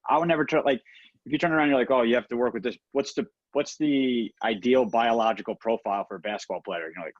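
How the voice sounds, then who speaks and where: A man chatting casually on a coaches' call, halting and peppering his talk with "like" and "you know". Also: no room sound. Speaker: participant in a coaches' conference call. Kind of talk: casual chat. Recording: dull, dry low-fidelity call audio.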